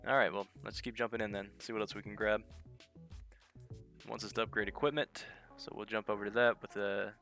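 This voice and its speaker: Low, flat-voiced man with a head cold. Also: brisk, vocal fry. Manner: thinks aloud while reading in-game skill text. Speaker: gaming channel host. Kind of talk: casual gameplay commentary. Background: game music.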